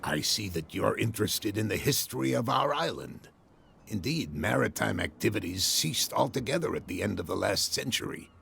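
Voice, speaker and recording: An actor playing a proud, courteous harbor captain in a video game, with a raspy, gravelly voice and stately phrasing. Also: male voice, fairly low pitch, expressive, moderate pace, a bit bright, a little hiss or hum, exaggerated voice. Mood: assured. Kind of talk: video game cutscene dialogue.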